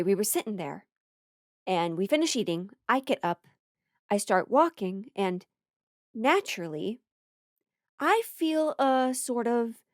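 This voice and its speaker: A woman's voice: mid-range voice, dry recording, studio quality. An American woman telling a casual comedic stand-up story, playful and theatrical, stretching words for emphasis and dropping mock-serious asides.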